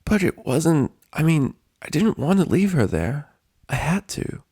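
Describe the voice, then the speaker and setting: A man's voice: fairly high pitch, sing-song, measured pace, dark tone, husky, pitched above the performer's own voice, exaggerated voice. A man voicing a brash, stubborn young female cartoon pony with a blunt, defiant manner. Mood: defensive.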